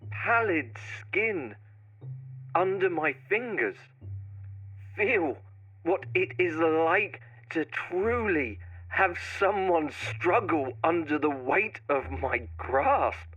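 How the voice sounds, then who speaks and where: A man acts out a brooding, menacing writer narrating his own horror tale. The delivery is slow and deliberate with dramatic pauses, in a fairly high, tense, bright voice. The recording is slightly dull and narrow. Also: slightly rough, no echo, full character voice. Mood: rising menace. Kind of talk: first-person scripted horror monologue.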